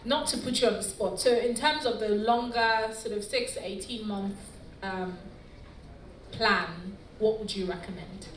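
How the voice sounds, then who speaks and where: A woman's voice: mid-range voice, measured pace, a little hiss or hum. A woman moderating a panel discussion, asking polite questions with frequent "um"s. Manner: polite, with "um" fillers. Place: large conference hall. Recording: echoey conference hall from far off. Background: clear room ambience.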